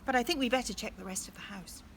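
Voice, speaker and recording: A woman's voice: fairly high pitch, quick pace, a bit bright, slight background noise. A woman voicing a kindly mother bear, soothing and practical.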